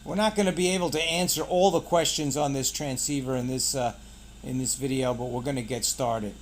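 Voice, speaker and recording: A man's voice: mid-range voice, steady tone, fairly quick, a little hiss or hum. A man hosting a casual product unboxing, pointing things out in short, clipped phrases. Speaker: product reviewer and channel host.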